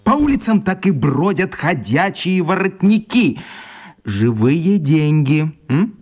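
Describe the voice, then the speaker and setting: A man's voice: a little high, sing-song, slow, a little mellow, heavily acted. An actor playing a smarmy, pompous man who hates stray cats in a children's radio play, speaking in a florid, ingratiating, theatrical way. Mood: unctuous, indignant.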